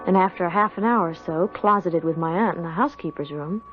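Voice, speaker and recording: A woman's voice: lowish voice, quick pace, soft background music, close to an everyday voice. An actress playing an earnest, respectful young servant woman in a radio drama. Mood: solemn narrating. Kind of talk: 1970s radio drama acting and narration. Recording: dull vintage radio recording.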